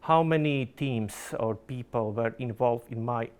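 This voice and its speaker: A man's voice: medium pitch, unhurried, a little mellow, clear, resonant tone. A Slovak man, a product manager, giving a conference presentation in careful non-native phrasing full of fillers and restarts.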